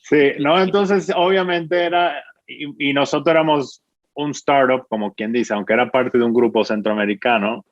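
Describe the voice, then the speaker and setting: A man in his forties with a Dominican accent, explaining his business as an interview guest in a measured way, often ending with a questioning tag. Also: mid-range voice, fairly even intonation, dry recording. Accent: Dominican Spanish. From Dominican Republic. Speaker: fintech founder, guest. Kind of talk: interview answers. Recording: muffled dry sound.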